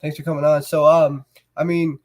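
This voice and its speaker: A man with a cold and a stuffy voice, hosting a casual show and talking in a relaxed way with many fillers. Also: fairly high pitch, moderate pace. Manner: relaxed, filler-heavy, warm encouragement. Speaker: host.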